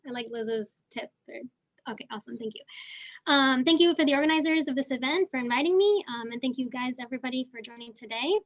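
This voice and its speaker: A young woman originally from Argentina, speaking with a Southern California accent. Her voice sits high as she speaks, and she talks casually, with plenty of ums and uhs. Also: fast. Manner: casual, many fillers. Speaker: panelist, geochemist. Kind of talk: online panel talk.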